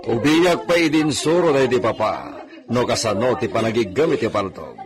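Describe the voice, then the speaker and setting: Commanding, expressive landowner, played by a man. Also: medium pitch, moderate pace, a crowd in the background, natural voice. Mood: proud, indulgent. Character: authoritative landowning senyor, proud and stern. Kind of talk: Ilocano radio drama acting.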